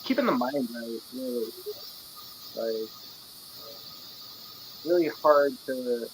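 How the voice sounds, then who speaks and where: Laid-back fighter, casual chat. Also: male voice, medium pitch, a bit bright, slight background noise, poor audio. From Wisconsin. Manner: laid-back, plainspoken, frequent fillers. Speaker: interviewee, mixed martial artist.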